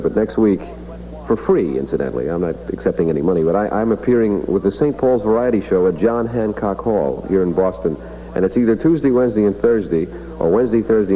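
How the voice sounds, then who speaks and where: Guy doing old 1960s radio host patter, really mellow dark voice, wry and self-mocking. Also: on the low side, slight background noise, poor audio. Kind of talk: casual comic radio announcing.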